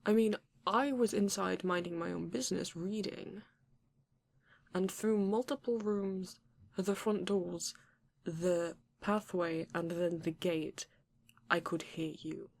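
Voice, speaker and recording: A woman playing a flirty, courteous vampire in an ASMR romance roleplay, breathy and often dropping to a whisper, with genteel, teasing phrasing. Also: medium pitch, fairly even intonation. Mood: amused, chiding. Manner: slow, genteel, teasing, old-fashioned wording. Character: flirty, courteous vampire living alone in a castle.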